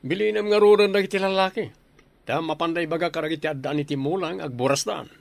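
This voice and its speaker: A man playing a forward, flattering produce trader in a radio drama, with a Filipino accent. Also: fairly high pitch, fast talker, creaky, no room sound. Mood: businesslike.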